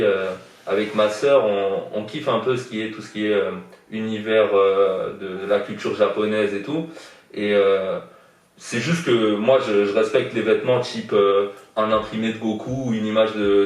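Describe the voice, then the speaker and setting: A young man answers interview questions casually, stalling on fillers and repeating words as he thinks. His voice sits a bit low. The sound is very echoey and distant, with faint music behind. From born in Iran, raised in France. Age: young adult. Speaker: guest artist. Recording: echoey room, distant from mic.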